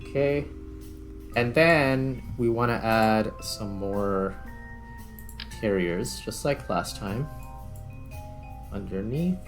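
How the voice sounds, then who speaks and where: Male gaming host, lowish voice, casual, talks through each step as he goes; light music bed. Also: slow, pauses often. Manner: thinks aloud while working. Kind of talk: casual gameplay commentary. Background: background music.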